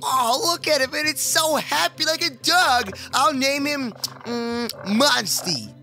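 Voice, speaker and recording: A man voicing an excitable, whiny little moose boy in a kids' gaming cartoon, stammering and slangy. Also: extremely high pitch, slow, vocal fry, soft background music, full character voice. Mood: playful. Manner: stammering, peppering speech with slangy address.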